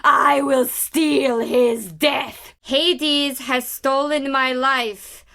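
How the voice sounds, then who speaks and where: A woman's voice: high voice, fairly even intonation, very slow, bright, forceful tone. A woman actor rehearsing dramatic lines and bantering between takes.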